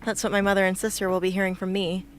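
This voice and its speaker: A woman's voice: mid-range voice, monotone, rapid-fire, close to an everyday voice. A woman playing a determined, defiant teenage girl soldier in an amateur radio drama. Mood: resolute. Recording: faint background noise and ambience.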